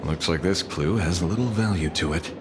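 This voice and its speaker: A man's voice: low voice, moderate pace, noisy background, natural voice. A man voicing a courteous, slightly sly private detective in a video game, with polite, hesitant turns of phrase. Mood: matter-of-fact. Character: smooth-talking, courteous male private detective. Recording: music under the voice.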